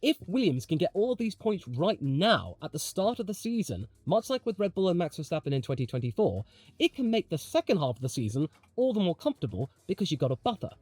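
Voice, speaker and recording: Animated man with a higher-pitched voice. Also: fast talker, no echo. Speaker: host of a motorsport commentary channel. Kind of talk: opinionated Formula 1 video commentary.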